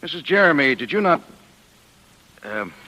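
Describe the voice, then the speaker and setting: An actor playing a brusque, domineering police lieutenant in a 1940s radio crime drama, curt and interrogating. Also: male voice, fairly high pitch, big pitch swings, moderate pace, bright, forceful tone, exaggerated voice. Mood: stern, impatient. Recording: reverberant old radio drama recording.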